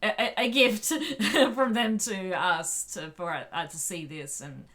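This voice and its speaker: A woman's voice: lowish voice, sing-song, takes it slowly, fairly bright tone. A woman co-hosting a podcast in a casual fan discussion. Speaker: podcast co-host.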